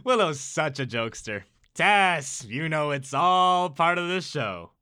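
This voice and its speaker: An actor playing a smug, showy TV game show host with theatrical announcer hype in an audio drama. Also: male voice, on the high side, very animated, a little slow, bright, forceful tone, clear, resonant tone, full character voice. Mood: smug, teasing.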